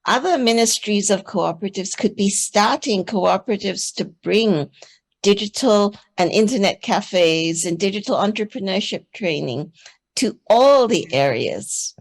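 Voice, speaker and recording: A woman's voice: medium pitch, animated, unhurried. A woman from Singapore speaking on a conference panel in an earnest, persuasive manner.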